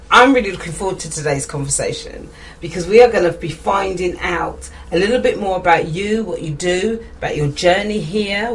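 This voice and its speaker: Upbeat female radio host, mid-pitched, recorded slightly off-mic. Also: recorded from a distance, a little hiss or hum, low-quality recording. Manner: upbeat, laughs often. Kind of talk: radio interview.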